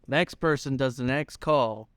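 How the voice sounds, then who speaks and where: A man's voice: medium pitch, a little slow, smooth, no echo. A young man co-hosting a podcast, chatting casually, teasing his partner and laughing often. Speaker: podcast co-host. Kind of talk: casual chat.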